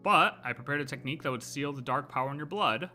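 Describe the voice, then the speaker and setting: A man's voice: mid-range voice, fast talker, pushed and bright. A man giving casual live commentary on a game playthrough, musing and thinking aloud. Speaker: game streamer. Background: faint game music.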